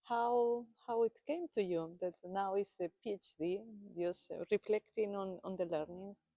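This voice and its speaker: A woman hosting a podcast interview, soft-spoken and hesitant as she poses her questions. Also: mid-range voice, fairly even intonation, measured pace, no echo. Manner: hesitant, many fillers and restarts, soft-spoken. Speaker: podcast host. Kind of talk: casual interview conversation.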